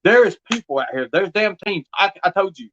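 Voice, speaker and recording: A man's voice: high-pitched, expressive, brisk, a bit bright, slight background noise. Insistent man, muffled audio. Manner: insistent, repetitive, confrontational. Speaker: livestreamer. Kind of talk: impassioned monologue to an absent person.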